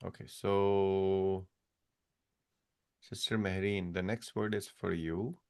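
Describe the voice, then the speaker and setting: A man's voice: low-pitched, steady tone, slow, darkish tone, clean tone, no room sound, studio quality. A man teaching an online language class, patiently correcting students one step at a time over a video call. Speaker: Arabic teacher.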